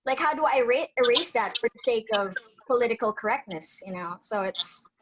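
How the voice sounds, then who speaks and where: Woman, slightly high pitch, casual, filler-heavy panelist. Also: animated, a little fast, fairly bright tone, breathy edge, rough recording. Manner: filler-heavy, lots of "you know, like".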